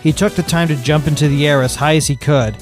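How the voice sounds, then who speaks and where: A man narrating a fan fiction story in a steady, matter-of-fact manner. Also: on the high side, fast, faint music behind.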